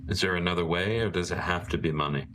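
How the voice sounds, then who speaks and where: Low-voiced middle-aged man playing a game. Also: fast, sharp tone, a little hiss or hum. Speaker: tabletop game player. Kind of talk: casual in-game chat. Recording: music behind the voice.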